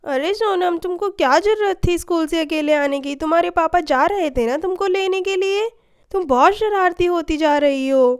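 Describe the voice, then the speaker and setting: Lady doing naggy annoyed mom, really high voice, kids cartoon story. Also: normal speed. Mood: exasperated. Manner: scolding, nagging. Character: exasperated, scolding young mother of a schoolgirl.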